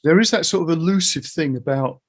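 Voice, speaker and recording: A man's voice: fairly high pitch, a little fast. A middle-aged man hosting a casual chat, musing informally and circling round his ideas. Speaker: interviewer, guitar teacher.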